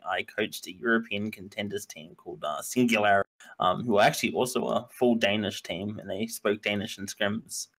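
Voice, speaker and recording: A man's voice: lowish voice, fairly bright tone, poor audio. A man in his twenties, an esports coach, answering interview questions casually with many fillers and hesitations. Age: 20s.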